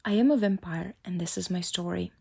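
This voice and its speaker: A woman's voice: fairly low pitch, brisk, gravelly, dark tone, husky. A woman with a Czech accent voicing a brooding, centuries-old male vampire as he introduces himself. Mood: solemn.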